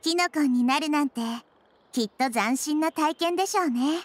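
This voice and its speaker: A woman's voice: high voice, normal speed, slight background noise, lightly acted. A woman voicing a kind, wise little nature goddess in an anime game, girlish yet composed, with refined feminine phrasing. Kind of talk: Japanese anime game voice acting. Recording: game music behind.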